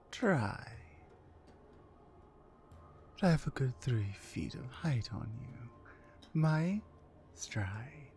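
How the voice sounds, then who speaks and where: A woman playing a towering, mean, dominant orc captor in an ASMR roleplay, commanding and deliberate. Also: extremely low pitch, mellow, heavily acted. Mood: smug, condescending. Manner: menacing, commanding, slow and deliberate.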